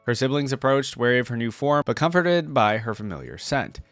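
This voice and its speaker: A man's voice: medium pitch, very animated, fairly quick, fairly bright tone, no echo. A man hosting a reaction video, mixing casual commentary with reading aloud.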